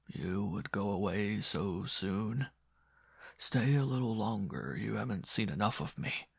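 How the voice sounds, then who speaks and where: A man voicing a bitter, menacing, disfigured blind former lover in a slow, hushed, breathy voice for a horror story. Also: on the low side, animated, no room sound, studio quality, heavily acted. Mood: pleading. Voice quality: breathy, hushed, often whispering. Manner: slow, quiet, pleading turning cruel.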